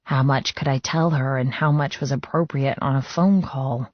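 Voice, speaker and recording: A woman's voice: extremely low pitch, steady tone, normal speed, dry recording, muffled recording. A woman reading first-person fiction as an audiobook narrator, in a scripted storytelling style.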